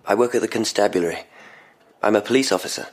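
An actor playing a weary, self-important police sergeant in an audio drama, rambling and correcting himself. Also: male voice, fairly low pitch, fast. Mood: flustered, pompous.

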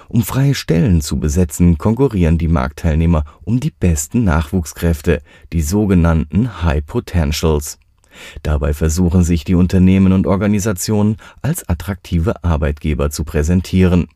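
Deep, dark male explainer voice. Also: no room sound, studio quality. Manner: even, informative read. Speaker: explainer segment narrator. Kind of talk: scripted definition reading.

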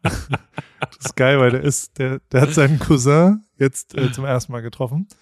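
A man's voice: medium pitch, steady tone, moderate pace, a little mellow, dry recording. Joking German man, dry close sound. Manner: joking, teasing, laughs often. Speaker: podcast co-host. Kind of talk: casual chat. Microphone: headphones on, recording remotely.

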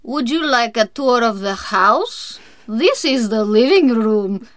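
A woman voicing a Russian-accented woman slowly giving a house tour in English. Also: on the high side, animated, no room sound, studio quality. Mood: stiff hospitable. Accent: Russian, put on in English. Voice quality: exaggerated accent, deliberate and slow.

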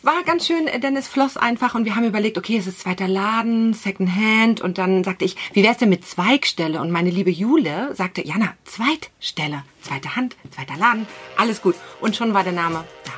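A woman from Berlin in her thirties, the owner of a small shop, chatting in an interview and telling stories with lively energy. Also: mid-range voice, moderate pace, few pauses, soft background music. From Berlin, Germany. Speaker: guest, vintage shop owner. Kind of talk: casual interview chat.

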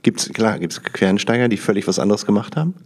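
A man from the Lower Rhine in Germany hosting a conversational interview, asking informal, rambling questions that often end in tag questions. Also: expressive, fast, husky. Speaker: podcast interviewer.

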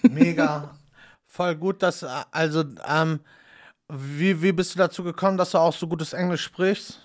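A man's voice: a little high, fairly even intonation, measured pace. Hesitant man hosting a chat. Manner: hesitant, many fillers, self-deprecating jokes. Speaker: podcast host. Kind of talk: casual interview chat.

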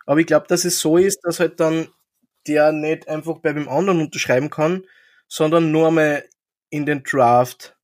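A man's voice: on the high side, fairly even intonation, normal speed. A man co-hosting a casual chat, explaining things with frequent hesitations and fillers. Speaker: podcast co-host explaining hockey.

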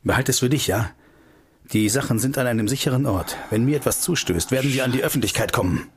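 An actor playing a secretive, insistent informant in a radio play, speaking deliberately and emphatically. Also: male voice, medium pitch, husky, close to an everyday voice. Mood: guarded, conspiratorial. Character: secretive male informant leaking intelligence papers, guarded, insistent. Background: music.

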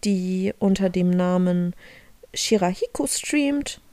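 A woman's voice: lowish voice, lively intonation, slow, mellow, no echo. A young woman from Germany, co-hosting a podcast in casual chat, talking freely with frequent laughs and restarts. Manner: chatty, laughs often, trails off and restarts. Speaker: podcast co-host.

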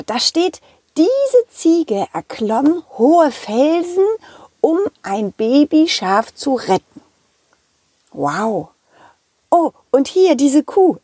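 Eager, loyal puppet dog for kids' audio story, played by a woman: high, very animated, slightly husky. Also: no room sound, clean studio sound, heavily acted. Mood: amazed. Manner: excited, repeats words. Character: eager, loyal, confident talking puppet dog, detective helper. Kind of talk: children's audio story acting.

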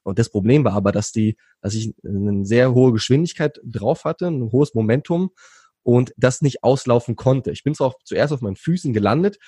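A man's voice: medium pitch, expressive, normal speed, mellow, breathy edge, no room sound, clean studio sound. A young man from Berlin, recounting his youth as an interview guest in a rambling way, with many fillers and restarts. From Berlin, Germany. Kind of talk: relaxed conversational storytelling.

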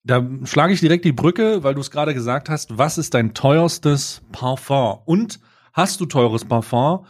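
An adult man co-hosting a podcast in casual chat, asking slow, drawn-out questions and laughing easily. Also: a little high, animated. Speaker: podcast co-host.

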